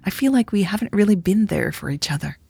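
A woman voicing a tender, secretive woman in an audiobook, speaking softly and breathily in a gentle, apologetic, pleading manner. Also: medium pitch, lively intonation, quick pace, pitched above the performer's own voice. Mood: earnest, pleading. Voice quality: soft, breathy, half-whispered. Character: Elle, tender secretive woman leaving her lover at night. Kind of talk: audiobook character dialogue.

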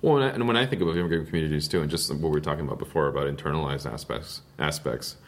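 Very low, very fast-talking man from California, thoughtful discussion voice. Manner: rapid, thoughtful, many restarts. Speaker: discussion participant. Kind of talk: casual group discussion.

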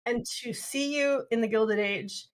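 A woman's voice: fairly high pitch, measured pace, poor audio. A woman co-hosting a casual interview, teasing the guest with playful sarcasm. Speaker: podcast co-host.

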